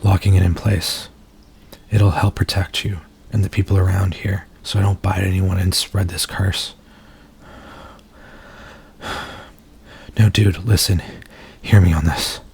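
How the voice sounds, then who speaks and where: Man playing a tormented werewolf in human form, low-pitched, heavy vocal fry, earnest intimate ASMR roleplay delivery. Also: little pitch movement, a little mellow, heavily acted. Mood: urgent, pleading. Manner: intimate, confessional, halting delivery.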